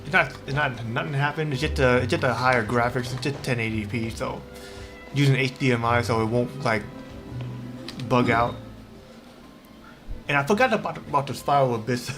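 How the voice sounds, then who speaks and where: A man chatting casually on a livestream while he plays a game, rambling in a halting, repetitive way. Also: mid-range voice. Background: game music.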